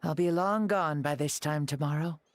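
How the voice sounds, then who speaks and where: A performer voicing a calm, breezy ancient soul in a boy's body for a video game, speaking casually and reassuringly. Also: medium pitch, moderate pace, no room sound, close to an everyday voice. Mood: light, breezy.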